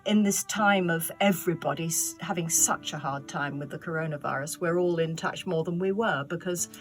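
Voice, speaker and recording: Female actor, fairly low voice, casual interview talk, light music underneath. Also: moderate pace.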